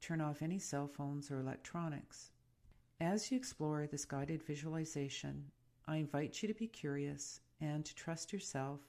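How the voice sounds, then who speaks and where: A woman guiding a meditation in a soothing, unhurried and gently instructive way. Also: deep voice. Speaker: meditation guide and podcast host. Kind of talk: guided meditation narration.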